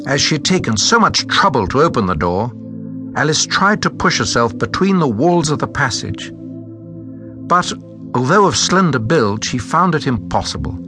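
A man narrating a children's story from a script, with expressive, suspenseful phrasing. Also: medium pitch.

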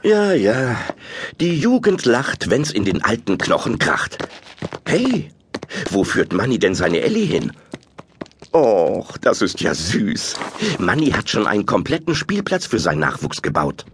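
A man's voice: on the low side, huge pitch swings, measured pace, background noise. A man narrating a children's audio play in a theatrical, exclamatory storytelling style.

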